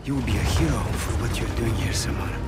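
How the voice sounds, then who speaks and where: An actor playing a cold Russian ultranationalist commander in his thirties, speaking with a Russian accent in a deliberate, threatening, often hushed way. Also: mid-range voice, loud music behind the voice, close to an everyday voice. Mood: quiet menace. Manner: deliberate, quietly threatening, often near a whisper. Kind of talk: cinematic video game acting.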